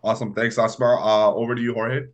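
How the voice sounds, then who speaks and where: A man's voice: mid-range voice, level tone, fast, highs cut off. A man, around his thirties, moderating an informal panel discussion as its host.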